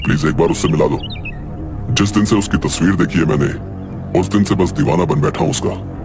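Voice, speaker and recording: A man's voice: low-pitched, brisk, creaky, over music. A man voicing a cocky, lovestruck young college playboy in a dramatic cartoon story. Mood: lovestruck, insistent. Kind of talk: Hindi cartoon story dubbing.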